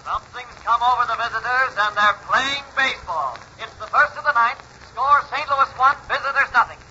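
A man playing a brisk baseball announcer in a 1940s radio drama. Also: very high-pitched, sharp tone, exaggerated voice. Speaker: sports announcer. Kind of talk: 1940s radio sports commentary acting. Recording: noisy, tinny 1940s radio recording.

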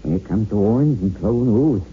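Elderly male gardener, played by an actor, superstitious and ominous, animated delivery, on a muffled vintage radio recording. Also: fairly low pitch, normal speed, a little hiss or hum, natural voice. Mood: grave, ominous. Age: older. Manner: hesitant, ominous. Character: aged, trembling, superstitious gardener, deferential and fearful. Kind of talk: 1960s radio drama acting.

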